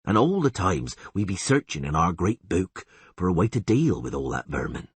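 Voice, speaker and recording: A man voicing a humble, apologetic village peasant in an audiobook, speaking haltingly in rustic dialect. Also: deep voice, sing-song, breathy edge, no echo, heavily acted. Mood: solemn. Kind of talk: audiobook character acting.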